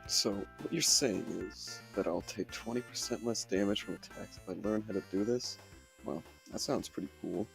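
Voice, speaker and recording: A man voicing a young fox in training, casual and cheeky, with a raspy voice, in an amateur comic dub. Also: lowish voice, normal speed, fairly bright tone. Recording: music behind the voice.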